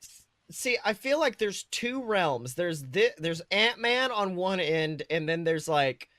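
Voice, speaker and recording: A woman co-hosting a podcast, chatting casually about films in a blunt, profane, jokey and animated way. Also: lowish voice, takes it slowly, no room sound, clean studio sound. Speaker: podcast co-host. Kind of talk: casual film review chat.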